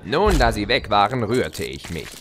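A man's voice: on the low side, sing-song, moderate pace. A man playing a gleeful, scheming chaos creature who narrates his escape in an audio drama, smug and playful. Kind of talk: theatrical first-person audio drama narration. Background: music.

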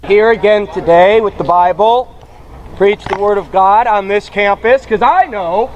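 A man preaching outdoors on a campus, speaking slowly in a taunting way. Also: high voice, highs cut off, a little hiss or hum. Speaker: street preacher. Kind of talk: open-air preaching. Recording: outdoor crowd clip.

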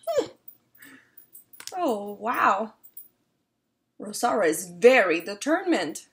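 High-pitched, expressive young woman storyteller. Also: vocal fry. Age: young adult. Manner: expressive, deliberate, engaging young listeners with questions. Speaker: read-aloud storyteller. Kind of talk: children's picture book read-aloud with questions. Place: small room at home, indoors.

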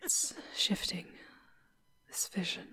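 A woman playing a tender, sorrowful female demon guide in a breathy, whispered ASMR roleplay, with dramatic pauses. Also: lightly acted. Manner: slow, hushed, dramatic pauses.

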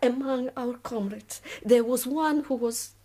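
An actress playing a proud, weary middle-aged revolutionary woman in a radio drama, speaking slowly and deliberately. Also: fairly high pitch, dark tone. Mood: bitter, grave. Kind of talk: BBC radio drama acting.

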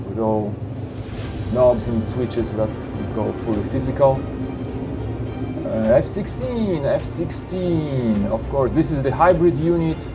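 A man talks through a product showcase in an upbeat, salesy way. His mid-pitched voice has a gravelly edge. Clear music plays behind him. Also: lively intonation, slow pace, poor audio. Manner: upbeat salesy, with frequent "you know" and "of course". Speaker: flight simulator company presenter. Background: background music.

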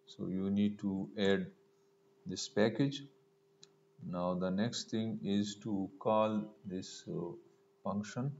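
A man giving a step-by-step screencast programming tutorial, halting as he thinks aloud while typing. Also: on the low side, steady tone, darkish tone. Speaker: programming instructor. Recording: dull lo-fi mic with noise.

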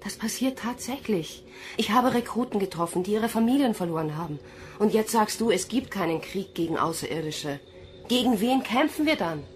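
A woman's voice: mid-range voice, lively intonation, normal speed, lightly acted. An actress playing a troubled, defiant young female recruit in a science fiction radio drama. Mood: agitated. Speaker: lead actor and narrator of an audio drama. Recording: studio radio play with loud music.

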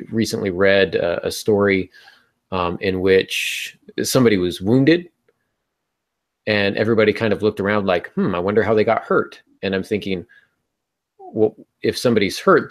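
A man in his thirties with a low voice talks as a podcast host. He explains his points in an instructive way, speaking over a video call from a small home office. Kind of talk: explanatory podcast talk on writing.

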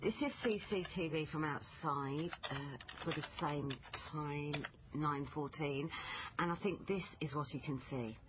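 A woman's voice: low voice, measured pace. A woman playing a dogged, questioning British police liaison officer in a naturalistic radio drama, probing and thinking aloud. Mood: puzzled, thoughtful. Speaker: actor in radio crime drama. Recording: muffled broadcast with clear background noise.

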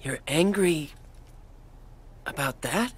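A woman's voice: low-pitched, lively intonation, slow, breathy edge, no room sound, full character voice. A woman playing an awkward, timid, good-natured teenage boy hero in a video game, hesitant and easily flustered. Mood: subdued. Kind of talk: video game cutscene acting.

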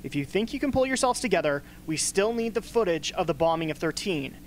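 A man performs a gruff, blunt mentor to young rebels in a radio drama. The delivery is businesslike, recorded with a faint hum. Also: high voice, animated, a little fast. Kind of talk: amateur radio play acting. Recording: faint hum and background noise.

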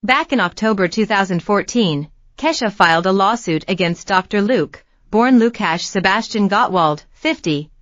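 A woman narrating a scripted celebrity news story in a steady read-aloud manner. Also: mid-range voice, normal speed, few pauses, dry recording, lo-fi sound.

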